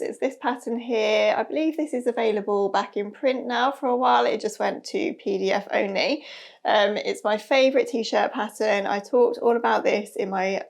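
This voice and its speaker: Slightly hoarse woman with a gravelly edge. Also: medium pitch. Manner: chatty, friendly, with soft laughs. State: slightly hoarse and nasal from a cold. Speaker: sewing vlogger. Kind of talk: casual chat to camera. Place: bright room with a sewing machine and shelves. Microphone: lapel mic.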